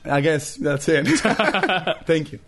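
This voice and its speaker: A man's voice: on the high side, sing-song, slow, fairly bright tone. A man in his thirties with a thick Peruvian accent, a comedian telling stories in a laid-back, swear-heavy podcast chat. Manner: laid-back storytelling, frequent swearing and laughter. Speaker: comedian guest.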